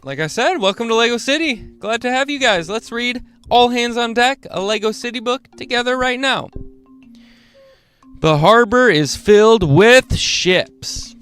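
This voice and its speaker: A man hosting a children's read-along, reading picture books in a playful, expressive way with asides to the young listeners. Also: extremely high pitch, smooth, faint music behind. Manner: playful, expressive, drawn-out delivery.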